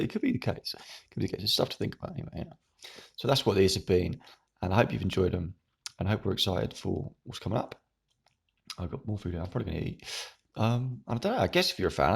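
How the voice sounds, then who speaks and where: Young guy rambling to camera at home, deep gravelly croak, kinda husky. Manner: rambling, meandering. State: croaky, hoarse. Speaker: YouTuber. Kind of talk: casual monologue to camera.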